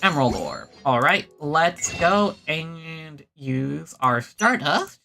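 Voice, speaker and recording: A game streamer chatting casually to viewers, rambling and low-key with a self-deprecating touch. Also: expressive, takes it slowly, gravelly, sharp tone, slight background noise. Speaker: livestreamer playing a video game. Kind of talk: casual livestream chat and game commentary.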